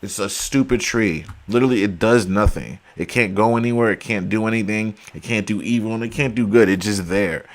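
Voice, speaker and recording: A man's voice: fairly low pitch, fairly quick, hardly any pauses. A man talking casually and emphatically to his viewers, saying he is losing his voice. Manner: emphatic, argumentative, addresses viewers as guys. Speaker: video host.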